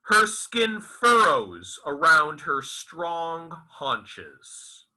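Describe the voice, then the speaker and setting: A man delivers a dramatic audiobook reading in a fairly high voice that swings expressively up and down. His phrasing is deliberate and broken into short, emphatic pieces, and he moves at a very slow pace. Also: big pitch swings, sharp tone, poor audio. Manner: theatrical, deliberate, halting phrasing with emphatic enunciation. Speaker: author reading his own novel aloud. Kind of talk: dramatic literary audiobook recitation.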